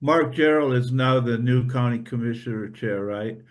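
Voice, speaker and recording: Medium-pitched man in casual discussion. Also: moderate pace. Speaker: church community member.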